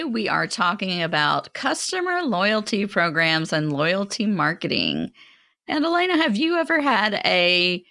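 A woman's voice: fairly low pitch, pushed and bright, dry recording, clean studio sound. A woman hosting a business podcast, opening the episode and introducing the topic in an upbeat way. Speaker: podcast host.

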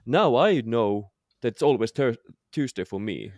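A man's voice: medium pitch, animated, moderate pace, a little mellow, dry recording. A man co-hosting a podcast in casual chat, hesitant and often restarting his sentences. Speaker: podcast co-host.

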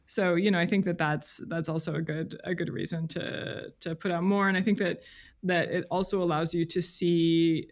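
A woman's voice: fairly low pitch, steady tone, moderate pace. A woman speaking as an interview guest in casual conversation, thoughtful and hesitant as she works toward her point. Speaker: podcast guest, freelance journalist.

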